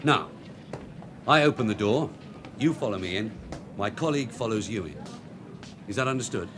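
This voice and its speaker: An actor playing a shrewd, middle-aged police sergeant in a radio drama, dry, sarcastic and bossy. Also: male voice, lowish voice, animated, moderate pace, over music, lightly acted. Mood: dry, commanding. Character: shrewd, sardonic veteran London detective sergeant. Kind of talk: naturalistic radio drama acting.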